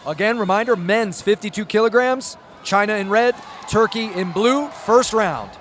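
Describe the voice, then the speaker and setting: A man doing excitable live sports commentary, chatting with viewers, his voice slightly hoarse. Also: high voice, normal speed, a little hiss or hum. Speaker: play-by-play commentator.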